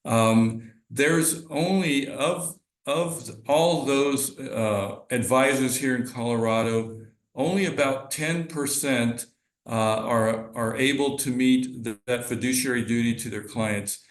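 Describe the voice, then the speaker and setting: An earnest, middle-aged man speaks as a guest advisor on a video call. His voice is mid-pitched, and he talks haltingly, often backing up to restart a phrase. The room around him sounds noticeably echoey. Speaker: financial advisor. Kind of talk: guest speaker in online training. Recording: roomy echo on call audio.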